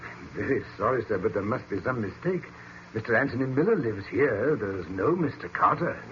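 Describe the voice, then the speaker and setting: A man's voice: on the low side, animated, normal speed, lightly acted. A man playing a deferential, unflappable butler in an old-time radio mystery, with formal and polite speech. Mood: politely puzzled. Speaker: actor in a radio detective drama. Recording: muffled, noisy vintage radio recording.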